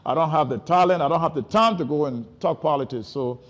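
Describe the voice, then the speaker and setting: A man's voice: a little high, quick pace. Liberian man, slightly dull lo-fi sound. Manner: repeats and rephrases for emphasis. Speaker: interview guest, entrepreneur. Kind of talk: casual interview, personal storytelling.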